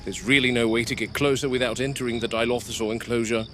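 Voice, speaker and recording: A man's voice: medium pitch, fairly even intonation, quick pace, a bit bright, soft background music, lightly acted. A man acting as a dogged game warden in a found-footage horror log, muttering urgently to himself and swearing as he tracks an escaped animal. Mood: intent, determined.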